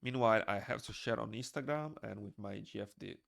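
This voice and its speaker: A young man with a Moldovan accent chats loosely with his stream viewers while he draws. His voice sits on the low side with a lot of gravelly fry. It is recorded dry and close in a small room. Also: brisk, fairly bright tone, dry recording. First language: Romanian. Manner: rambling, reads chat aloud and answers it. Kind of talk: casual chat while drawing on stream.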